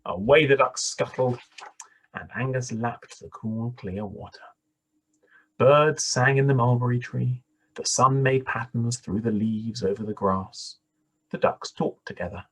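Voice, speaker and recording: A man reading a children's story aloud in an expressive, deliberate manner with dramatic pauses. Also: medium pitch, husky, low-quality recording. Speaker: storybook reader.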